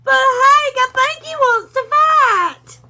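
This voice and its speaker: A woman's voice: very high-pitched, slow pace, pushed and bright, voice pushed up, exaggerated voice. A woman voicing a timid, whiny little male dog who wails and whimpers in panic. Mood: panicky whining.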